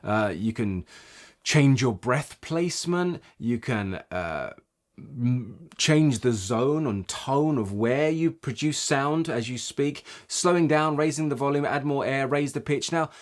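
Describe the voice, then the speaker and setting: A man presenting an instructional tutorial as a voice coach, explaining in an expressive, emphatic way. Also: medium pitch, unhurried.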